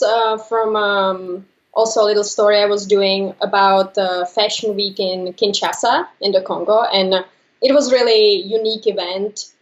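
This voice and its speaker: A woman's voice: medium pitch, lo-fi sound. Hesitant woman from the Czech Republic. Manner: hesitant, many fillers and restarts. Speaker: photojournalist. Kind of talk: interview answers.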